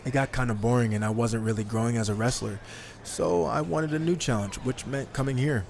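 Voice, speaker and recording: A man's voice: mid-range voice, fairly quick, creaky, darkish tone, a little hiss or hum, lightly acted. A man voicing a self-assured, sardonic young rookie wrestler in a video game cutscene. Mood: cocky. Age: young adult. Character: cocky, wisecracking young male rookie wrestler.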